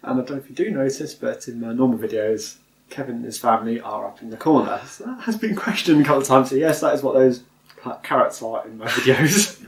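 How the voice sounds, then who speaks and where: A man's voice: mid-range voice, fast, echoey room. Chatty British man hosting at home. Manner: relaxed, chuckling, chatty. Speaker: channel host. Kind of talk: casual chat. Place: home living room sofa.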